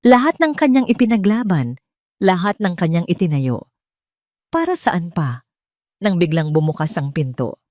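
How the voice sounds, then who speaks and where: A woman's voice: fairly low pitch, very animated, normal speed, long pauses, a little mellow, no room sound, clean studio sound. A woman storyteller reading scripted dramatic narration.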